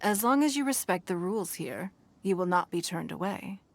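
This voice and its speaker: A woman voicing a shrewd, wealthy female city leader who speaks in a measured, authoritative, formal way. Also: lowish voice, animated, creaky, slight background noise. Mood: calm. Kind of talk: game cutscene voice acting.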